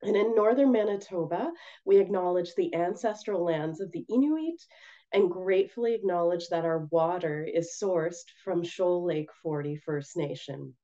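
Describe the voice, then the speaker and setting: Woman presenter, hoarse and raspy, mellow gentle delivery, slightly muffled call audio. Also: lowish voice. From Canada. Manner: gentle, measured, with frequent ums. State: hoarse from a cold. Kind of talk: webinar presentation. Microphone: video call.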